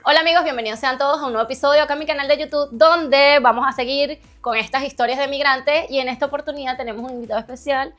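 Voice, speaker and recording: A woman hosting an interview, asking quick prompting questions. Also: sits high, steady tone, fairly bright tone, faint music behind. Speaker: interview host. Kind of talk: conversational interview.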